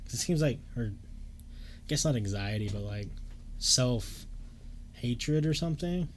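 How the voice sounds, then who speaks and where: A young man co-hosting a casual podcast, easygoing, with his voice jumping up when he gets excited. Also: mid-range voice, slow pace, a little hiss or hum. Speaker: podcast co-host. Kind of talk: casual chat.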